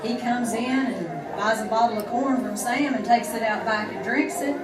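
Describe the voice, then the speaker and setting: A woman's voice: on the high side, moderate pace, close to an everyday voice. An actress playing a worldly, knowing American frontier saloon woman with a casual, teasing manner in an old-time radio western. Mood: wry, curious. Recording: roomy, distant vintage radio with loud music.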